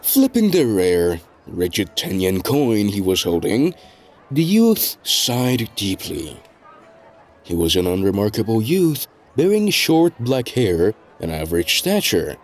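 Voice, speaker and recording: Guy narrating a story, takes his time, pitch moves around a lot, measured delivery. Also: big pitch swings. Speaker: narrator of a web novel fandub.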